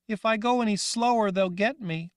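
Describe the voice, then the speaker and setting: A man voicing a frightened, childlike young woman who asks high, anxious, pleading questions in an audiobook reading. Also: moderate pace, clear, resonant tone, dry recording, clean studio sound, close to an everyday voice. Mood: scared pleading.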